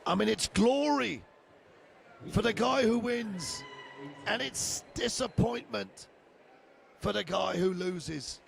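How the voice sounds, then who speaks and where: An older man giving live fight commentary, excitable and hoarse as he loses his voice, shouting the big moments. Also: high voice, slow pace, pauses often, faint music behind. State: losing his voice. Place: arena.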